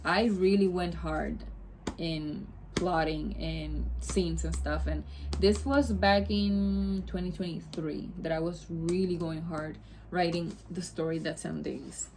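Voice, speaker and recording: A young woman from Puerto Rico whose first language is Spanish talks to her viewers in a relaxed, rambling way. Her voice sits a little low, and she wanders into asides and corrects herself mid-thought. There is a faint hiss behind her. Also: slow, poor audio. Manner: chatty, rambling, many asides and self-corrections. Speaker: vlogger. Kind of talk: casual chat to camera.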